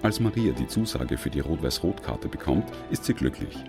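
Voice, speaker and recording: An Austrian man reads a documentary report with a strikingly deep, gravelly voice. His pitch swings widely as he narrates. Music plays clearly under the voice-over. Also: very animated, normal speed.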